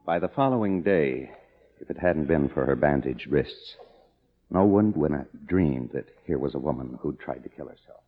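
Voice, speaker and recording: An actor playing a wry, world-weary young screenwriter in an old noir radio drama. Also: male voice, rumbling low, expressive, normal speed, soft background music. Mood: wry, narrating. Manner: wry, sardonic. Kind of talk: 1950s radio drama acting with noir narration. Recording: muffled, noisy old radio recording.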